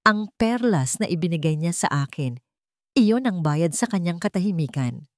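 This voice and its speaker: A woman voicing a betrayed wife in an audio drama story, composed and bitter, giving firm, deliberate instructions. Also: lowish voice, lively intonation, no echo, studio quality, natural voice. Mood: firm, accusing.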